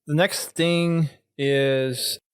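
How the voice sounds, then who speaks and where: A man's voice: fairly high pitch, steady tone, very slow, smooth, no echo, studio quality. A man hosting a gaming podcast in a casual, conversational style. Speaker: podcast host.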